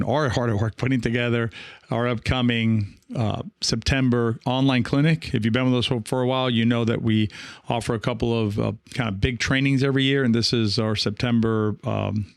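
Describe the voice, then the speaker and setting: A man's voice: mid-range voice, gravelly, no echo, clean studio sound. A man hosting a coaching podcast in a casual monologue, speaking in a hesitant, rambling way as he thinks aloud. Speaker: podcast host and pickleball coach.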